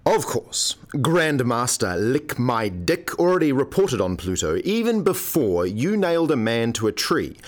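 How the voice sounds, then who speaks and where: A man's voice: medium pitch, very animated, unhurried, hardly any pauses. A man playing a sardonic, mock-pompous academic colleague in a scripted radio play, given to lecturing. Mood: sarcastic. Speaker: supporting actor in a radio play.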